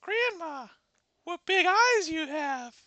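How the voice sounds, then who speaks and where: A man voicing an innocent young girl in a high falsetto. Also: animated, takes it slowly, pushed and bright, no echo, heavily acted. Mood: naive.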